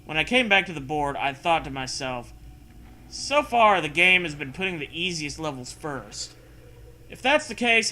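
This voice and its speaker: A man's voice: fairly high pitch, bright, forceful tone, a little hiss or hum, rough recording. A man doing a mocking dramatic reading with casual riffing, sarcastic, profane and prone to hammy emphasis. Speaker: comedy co-host reading fan fiction aloud.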